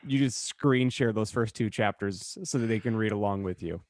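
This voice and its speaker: A man's voice: on the low side, moderate pace, darkish tone, no room sound, studio quality. A man chatting casually on a comedy podcast, wry and profane in his banter with co-hosts. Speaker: podcast co-host. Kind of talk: casual comedic group chat.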